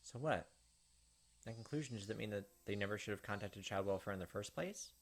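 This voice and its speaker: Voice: sits low, a little fast. A let's play host reading a visual novel aloud and adding casual, sympathetic commentary. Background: game music.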